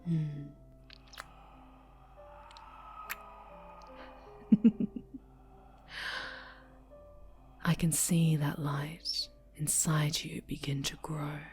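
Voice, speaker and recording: A woman playing a sinister, obsessively doting dark-angel superhero in an intimate ASMR roleplay, cooing and whispering with sweet menace. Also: sits low, steady tone, slow pace, soft background music. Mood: obsessive, seductive.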